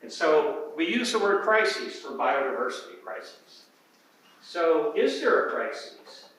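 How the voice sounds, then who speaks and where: An older man, an emeritus professor, giving an academic talk at a symposium with an unhurried, emphatic manner and some hesitations. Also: fairly high pitch, sing-song, a bit bright. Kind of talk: academic presentation. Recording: very echoey hall, voice from far off the mic.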